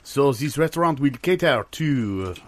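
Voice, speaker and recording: A man's voice: medium pitch, lively intonation, normal speed, lightly acted. A man putting on a mock French accent as a pensive, mock-solemn character in an improvised comedy sketch. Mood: pensive, intrigued.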